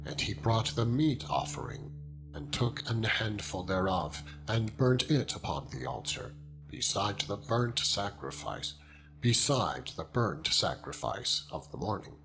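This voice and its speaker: A man reading scripture as a dramatized narration, in a solemn and measured manner. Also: fairly low pitch, sing-song, gravelly, rough recording. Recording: music throughout. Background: loud instrumental music.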